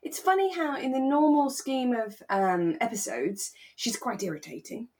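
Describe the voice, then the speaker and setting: A woman co-hosting a podcast, talking in a relaxed, conversational review style. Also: a little high, moderate pace. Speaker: podcast co-host. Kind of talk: relaxed chat reviewing a radio drama.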